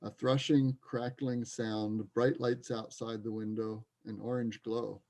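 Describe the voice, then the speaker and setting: A man's voice: medium pitch, level tone, unhurried, darkish tone. A man narrating stage directions in a scripted reader's theater performance.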